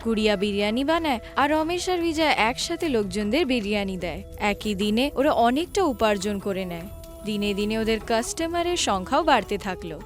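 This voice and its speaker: A woman narrates a cartoon tale in a scripted storybook style. Her voice is on the high side. Music plays behind her. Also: animated, moderate pace.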